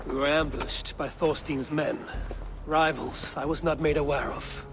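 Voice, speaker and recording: An actor playing a proud, loyal Viking warrior in a video game, speaking in solemn, measured, old-fashioned phrases. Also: male voice, on the high side, fairly even intonation, background noise. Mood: grave, determined. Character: loyal battle-hardened male Viking warrior, proud and resolute. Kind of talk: dramatic video game acting. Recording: music behind the voice.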